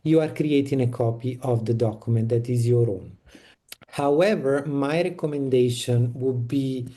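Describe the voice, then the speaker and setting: Guy on a video call, warm low-key tone, explains step by step, restarts a lot. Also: moderate pace. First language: Italian. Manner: practical, explanatory, frequent restarts. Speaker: panelist on community toolkit. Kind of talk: online meeting talk.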